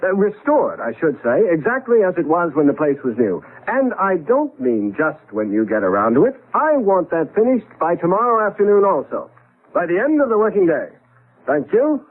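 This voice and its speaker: Sardonic, animated husband on old radio. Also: male voice, high voice, normal speed, phone-quality sound. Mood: playfully commanding. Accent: American. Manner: wry, exasperated, stammers when alarmed. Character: sardonic husband, a young lawyer, skeptical and easily flustered. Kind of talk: 1970s radio drama acting. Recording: band-limited old radio broadcast.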